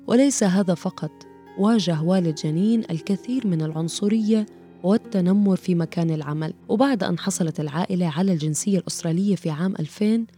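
A woman reading scripted documentary narration in a measured, formal way. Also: on the low side, warm tone.

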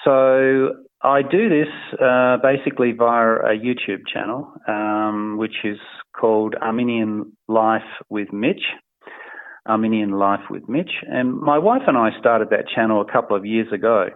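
A middle-aged man from Australia answering interview questions in a casual, hesitant way, with many fillers and soft chuckles. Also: on the low side, a little slow, no room sound. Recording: tinny phone-like line, dry.

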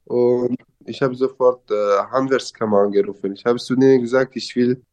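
A man's voice: medium pitch, steady tone, normal speed, warm tone. A young man from Syria with a Syrian Arabic accent, speaking German as a learned language in a casual interview, with grammar slips and hesitations. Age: young adult.

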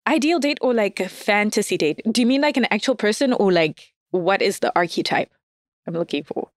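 A woman's voice: mid-range voice, animated, a little fast, no echo, studio quality. A woman guest in casual conversation, candid and self-deprecating, laughing often as she talks. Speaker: podcast guest. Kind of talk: casual dating chat.

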